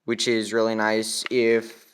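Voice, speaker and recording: A man's voice: on the low side, monotone, takes it slowly, clear, resonant tone. A man giving a casual step-by-step product demonstration in a plain, instructional manner. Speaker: product demonstrator, ham radio operator.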